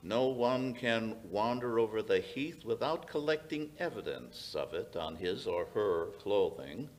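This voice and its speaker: Older man acting commanding male detective, radio mystery, animated pitch, deliberate, authoritative. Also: a little hiss or hum. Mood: probing, confident. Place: small radio-style studio stage, indoors. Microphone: stand microphone.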